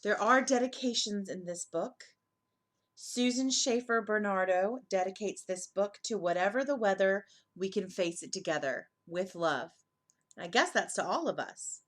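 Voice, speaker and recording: A woman's voice: mid-range voice, moderate pace. A woman hosting a children's storytime, explaining things simply and reassuringly for young listeners.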